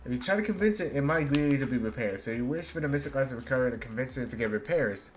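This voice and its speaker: A man streaming a game, reading its dialogue aloud haltingly and adding casual commentary. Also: medium pitch, animated, soft background music. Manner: halting read-aloud with stumbles.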